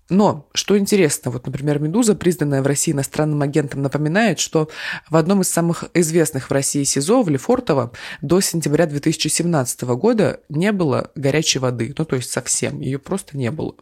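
A woman's voice: deep voice, darkish tone. A woman from Siberia co-hosting a morning news podcast over a video call, delivering the news with dry, wry humor. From Siberia, Russia. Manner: wry, dry humor, matter-of-fact reading. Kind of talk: news podcast presenting and banter.

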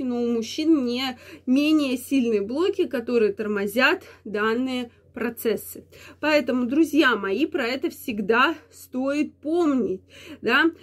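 A woman hosting an advice vlog, explaining things to viewers in a repetitive, emphatic way, her voice affected by a slight cold. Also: high voice. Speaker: channel host giving advice. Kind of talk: explanatory talk to camera for viewers.

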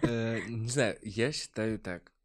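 A man's voice: on the low side, slow. A man talking casually in a podcast discussion, explaining his views earnestly and emphatically.

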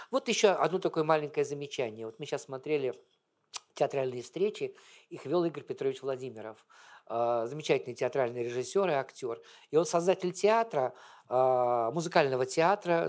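Guy giving a chatty talk on theatre history, rambles and goes off on tangents, mid-pitched voice. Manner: rambling, digressive, conversational. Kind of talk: informal public lecture with anecdotes.

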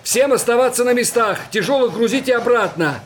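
Actor as high-voiced, commanding young army doctor. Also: male voice, animated, brisk, a little hiss or hum. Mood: urgent commanding. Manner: commanding, urgent, clipped. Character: young, resolute army doctor lieutenant barking orders. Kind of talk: Russian radio play acting.

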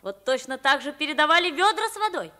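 A woman's voice: high-pitched, expressive, quick pace, a crowd in the background. A woman playing a frightened, bewildered poor boy in a theatrical radio play. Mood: naive, protesting. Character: frightened, bewildered pauper boy mistaken for the king.

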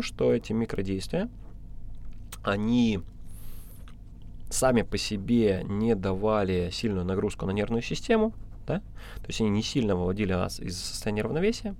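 A man giving informal motivational advice as a podcast coach, halting and repeating himself, often checking in with the listener. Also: lowish voice, gravelly, darkish tone, slight background noise.

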